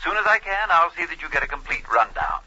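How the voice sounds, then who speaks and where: An actor playing a pompous, evasive corporate public relations manager in a 1950s radio drama. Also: male voice, on the high side, big pitch swings, fast talker, pushed and bright, full character voice. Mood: smooth, patronizing. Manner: pompous, blustering, says "old man". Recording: harsh, dull old radio recording.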